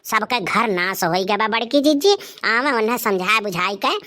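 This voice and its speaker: A woman's voice: mid-range voice, animated, normal speed, bright, forceful tone. A woman voicing a concerned village neighbour in a cartoon, pleading and advising with an Awadhi accent. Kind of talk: dialect cartoon comedy acting.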